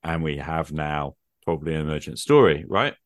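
A man's voice: a bass voice, expressive, normal speed, no echo, clean studio sound. A man chatting casually as a gaming podcast host, thinking aloud with plenty of "like" and "you know". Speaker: podcast host and game master. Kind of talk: casual conversation about tabletop gaming.

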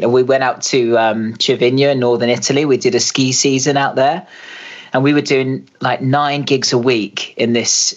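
A man in his thirties hosts a relaxed podcast chat, talking in an easy, rambling way and laughing often. His voice sits at a middle pitch for a man. The recording sounds slightly dull and lo-fi. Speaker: podcast host, rock singer. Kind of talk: casual interview. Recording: muffled lo-fi audio.

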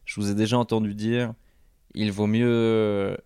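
A man's voice: lowish voice, little pitch movement, a little slow, mellow, smooth, dry recording, clean studio sound. A man interviewing a guest, asking curious, polite questions with frequent hesitations. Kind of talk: casual interview chat.

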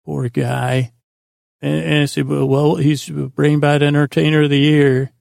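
A man with a gravelly, creaky voice hosting a bedtime podcast in a meandering, halting monologue that trails off mid-thought. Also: a little high, flat delivery, darkish tone, no echo, studio quality. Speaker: podcast host.

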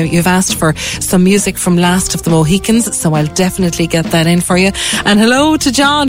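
A woman hosting a radio show in a chatty, effusive and encouraging way. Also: lowish voice. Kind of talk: live radio presenting, chatty. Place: radio studio.

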